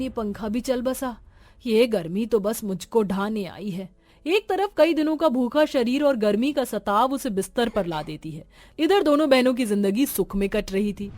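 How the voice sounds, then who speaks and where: A woman's voice: a little high, a little mellow, slight background noise. A woman reading a scripted story as a narrator, in a dramatic storytelling style. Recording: music behind the voice. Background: background music.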